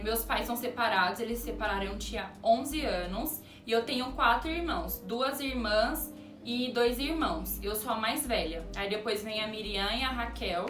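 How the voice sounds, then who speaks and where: Female vlogger, 20s, from Brazil; casual Q&A chat, slightly high voice; roomy echo, music bed. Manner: chatty, answers viewer questions aloud. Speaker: youtuber. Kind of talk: casual Q&A vlog. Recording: roomy echo, distant mic, music behind. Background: background music.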